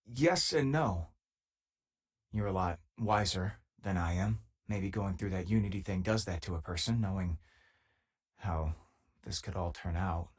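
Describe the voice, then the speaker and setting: Video game space companion, raspy, very animated range, wry, loyal, easygoing, conversational. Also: male voice, on the low side. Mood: relaxed, wry. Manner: casual, dry-humoured, hesitant asides. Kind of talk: scripted game voice lines, natural conversational delivery.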